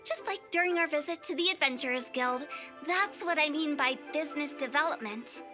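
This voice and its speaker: Actress as cheeky young female funeral director for anime game: extremely high, salesy, theatrical, over music. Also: a little fast, natural voice. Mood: proud, persuasive. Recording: game audio with music behind.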